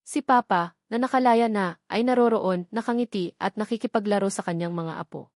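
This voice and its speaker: A woman narrates a dramatic tale with earnest feeling. Her voice sits at a middle pitch, and the recording is close and dry, with no room around it. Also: a little fast, no echo. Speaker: story narrator. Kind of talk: dramatic scripted audio story narration.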